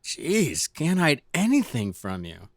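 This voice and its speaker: A man's voice: on the high side, wildly swooping pitch, takes it slowly, no room sound, studio quality, heavily acted. A man playing a wisecracking, easygoing city gang member who teases old friends in a casual audio drama. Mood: teasing. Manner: teasing, casual banter.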